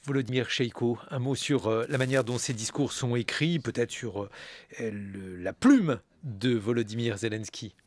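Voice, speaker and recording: A man's voice: medium pitch, normal speed, no echo. Hesitant male radio host, dry studio. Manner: long hesitant questions full of fillers. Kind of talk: radio interview. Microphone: studio microphone.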